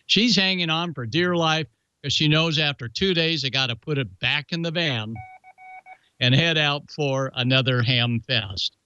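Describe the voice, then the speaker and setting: A man's voice: a little high, a little slow, sharp tone, clean tone, no room sound, muffled recording. A man hosting a show and presenting amateur radio club news in a folksy, jokey announcer's way. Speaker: show host.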